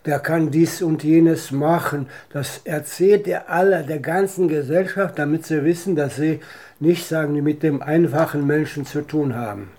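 Male conversational speaker, fairly high and very mellow, unhurried, rambling and emphatic. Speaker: interviewee. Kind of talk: spontaneous conversational philosophising.

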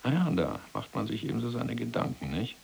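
An actor playing a folksy, talkative German war criminal in a prison cell, speaking colloquially and hesitantly in a radio drama. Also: male voice, medium pitch, sing-song, brisk, creaky, a little mellow, a little hiss or hum. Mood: hesitant, probing. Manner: colloquial, hesitant, roundabout, chummy.

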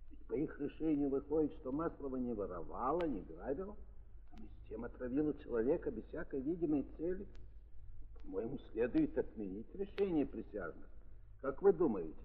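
Echoey tinny old radio play, man acting a formal judge, mid voice, animated and concerned. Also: medium pitch, normal speed, lightly acted. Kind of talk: 1930s radio theatre dialogue. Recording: tinny 1930s recording with long reverb.

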